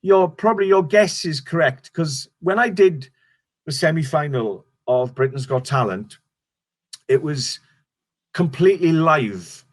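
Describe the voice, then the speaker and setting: A man's voice: on the high side, lively intonation, unhurried. Welsh man, rambling comedian. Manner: rambling, restarts sentences, swears casually. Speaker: stand-up comedian guest. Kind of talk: casual interview chat.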